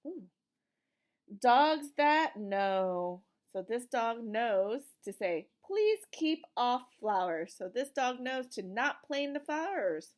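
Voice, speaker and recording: A woman's voice: a little high, very animated, takes it slowly. A woman doing an interactive read-aloud for young children like a teacher, asking simple questions, giving encouraging prompts and reading the words with emphasis.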